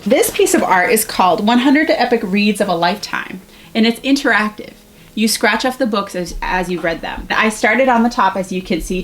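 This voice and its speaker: A woman's voice: mid-range voice, a bit bright, slight background noise. A woman in her thirties presenting as a school librarian host, talking casually to camera for students. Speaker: school librarian hosting a virtual library show.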